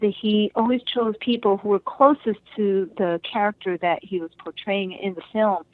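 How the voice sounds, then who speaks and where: A woman's voice: medium pitch, steady tone, normal speed, no echo. Woman on a tinny phone line, chatty. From Japan and United States. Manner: chatty, laughs often, acts out quoted voices. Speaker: interview guest, singer and actress. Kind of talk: casual interview storytelling.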